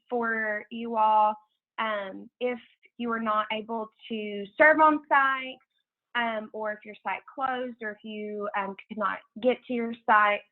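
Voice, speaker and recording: An American woman giving informal announcements as a program coordinator on a conference call, in a casual, halting way over a tinny phone line. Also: fairly high pitch. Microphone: telephone conference call.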